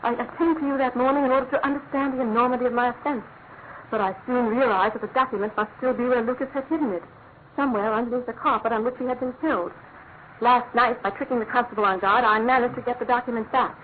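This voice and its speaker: Through a thin, phone-like sound with noise comes a highish voice of an actress as an aristocratic English wife. She pleads in formal, halting phrases, full of guilt and anguish, in classic radio drama fashion. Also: fairly even intonation, close to an everyday voice. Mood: fearful confession. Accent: English upper-class.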